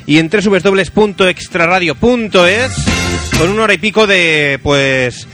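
Male radio host, Spain accent, voice on the high side, informal bantering delivery, prominent music bed. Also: unhurried. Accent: Spanish from Spain. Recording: radio show with music playing underneath. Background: loud music.

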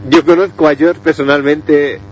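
A man's voice: a little high, steady tone, normal speed, soft background music. A man from hosting a radio phone-in in casual chat, with a teasing, jokey manner. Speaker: radio host taking phone calls. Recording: dull lo-fi radio recording.

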